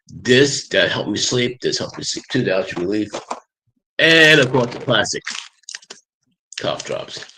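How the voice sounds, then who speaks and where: Male streamer, low voice, stuffed up with a head cold, rambling chat. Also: fairly bright tone, low-quality recording. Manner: rambling, halting, many fillers. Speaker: livestream host. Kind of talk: casual livestream chat.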